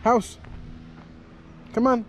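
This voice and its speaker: A man's voice: drawn-out words, a little hiss or hum. A man chatting casually and coaxingly to a dog as he films a vlog outdoors. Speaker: vlogger. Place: outdoors on a rural homestead.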